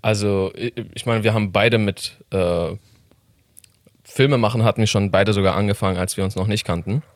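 A man with a slight Iranian accent, a filmmaker guest in a casual interview who talks haltingly, with many fillers and frequent laughs. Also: on the low side, fairly even intonation, dry recording. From Iran.

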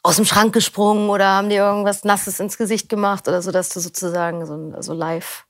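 Interview guest: middle-aged woman, gravelly, loose and chatty, dry studio mic. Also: medium pitch, flat delivery, fast, no room sound. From Berlin, Germany. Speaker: interview guest, audiobook reader. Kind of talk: casual chat. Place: recording studio.

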